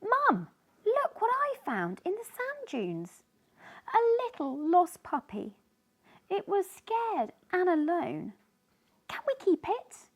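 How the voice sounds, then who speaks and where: Storybook little girl, played by a woman. Raised pitch, eager, pleading, very animated. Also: slow, exaggerated voice.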